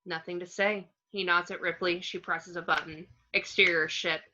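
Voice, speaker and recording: A woman's voice: on the low side, steady tone, moderate pace, bright, forceful tone, slight background noise. A woman narrating screenplay action descriptions in an amateur table read, on muffled lo-fi audio.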